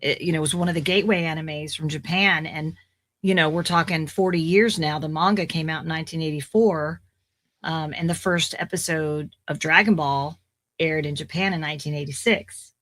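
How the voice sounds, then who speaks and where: Podcast guest, woman in her forties, low-pitched and slightly raspy, rambling and chatty. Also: fairly even intonation, normal speed, fairly bright tone. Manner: chatty, rambling, with fillers and restarts. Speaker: voice actor guest. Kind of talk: casual podcast interview.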